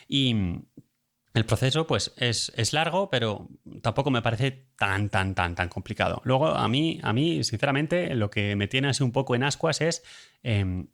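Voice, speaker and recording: Man, accent from Spain, low voice, informal explainer host who talks conversationally and feels his way through each point. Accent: Spanish from Spain. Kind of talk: casual informal explainer monologue.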